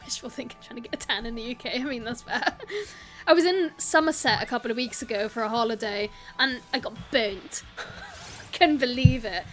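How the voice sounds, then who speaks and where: Young woman, South Welsh accent, high voice, giggly and chatty, streaming with soft game music behind. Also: moderate pace, rough recording. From South Wales. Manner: chatty, giggly, greets viewers, rambling asides. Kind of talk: casual live-stream chat while gaming.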